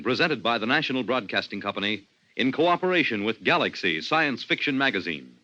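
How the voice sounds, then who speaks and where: Male American announcer, 1950s radio: formal scripted read, strikingly bright and punchy, animated intonation. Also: medium pitch, poor audio.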